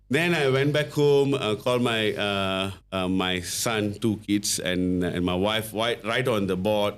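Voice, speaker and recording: A middle-aged man from Malaysia with a Malaysian English accent, a podcast guest telling his story in a halting way, full of fillers and restarts. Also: on the low side, clean tone. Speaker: guest, company founder.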